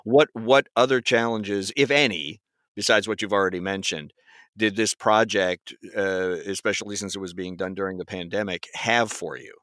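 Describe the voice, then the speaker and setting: A man hosting an interview in a conversational, polite and appreciative way, often halting and repeating words. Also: lowish voice, fairly even intonation, a bit bright, dry recording, studio quality. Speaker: interviewer, podcast host.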